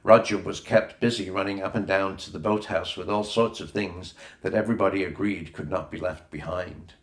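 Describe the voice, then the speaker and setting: A man's voice: low voice, steady tone, moderate pace. A man reading a children's adventure novel aloud as scripted narration, with a roomy echo on the recording. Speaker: audiobook reader.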